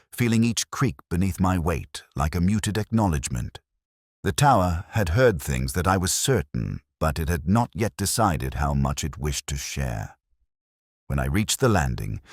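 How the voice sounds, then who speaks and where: A man playing a brooding older English police detective, narrating a mystery drama in a measured, solemn, literary and reflective manner. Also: extremely low pitch, dry recording, clean studio sound, heavily acted. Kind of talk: scripted first-person mystery narration.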